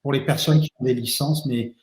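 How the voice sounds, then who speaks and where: A man of middling pitch answers questions as a lawyer, stopping often to fill with hesitations and restart his sentences. His voice sits in a noticeably roomy, echoing space. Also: steady tone, brisk, a little mellow. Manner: hesitant, many fillers and restarts. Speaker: immigration lawyer. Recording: roomy echo.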